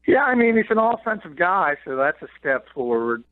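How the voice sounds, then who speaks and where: A man calls in over a thin, tinny phone line, his voice dry and close. He breaks down football news in a quick, chatty way with short laughs. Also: sits high, very animated, dry recording. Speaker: NFL columnist guest. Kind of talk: sports radio analysis by phone.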